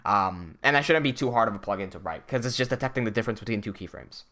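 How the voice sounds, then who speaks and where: A man's voice: lowish voice, expressive, fast, pushed and bright. A young man from Hong Kong hosting a casual livestream, thinking aloud and reading out chat messages, with frequent restarts.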